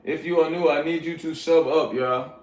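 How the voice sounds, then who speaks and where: Guy from liberia chatting on his livestream, easygoing and slangy, kinda higher voice. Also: fairly even intonation, moderate pace, a little mellow. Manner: laid-back, addresses viewers as y'all, slangy. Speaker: livestream host reading chat. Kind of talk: casual livestream chat. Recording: roomy echoey sound.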